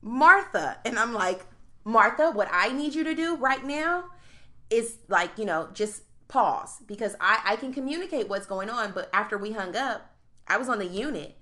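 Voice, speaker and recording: A woman's voice: fairly high pitch, moderate pace. A young woman co-hosting a podcast, telling a work story in casual chat with a strong voice, acting out both sides of a phone call. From United States. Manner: animated storyteller, acts out phone calls. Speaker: podcast co-host.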